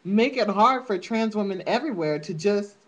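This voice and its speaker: This is a woman speaking straight to her viewers in a casual vlog. She is sassy and emphatic and keeps calling them honey. Her pitch rises and falls a lot. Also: expressive, normal speed. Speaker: vlogger.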